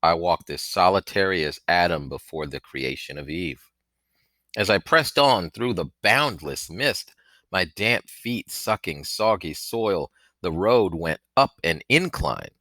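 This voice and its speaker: Guy with a really low voice reading an audiobook, theatrical and punchy, dry recording no room sound. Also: no echo. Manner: theatrical, expressive delivery. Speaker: audiobook reader. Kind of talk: dramatic storybook narration.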